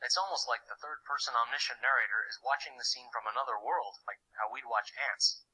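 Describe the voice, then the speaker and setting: A man's voice: mid-range voice, brisk, sharp tone, husky, low-quality recording. A man playing an earnest, slightly put-upon boy who explains lessons conversationally in an educational cartoon, with wry asides. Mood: explaining.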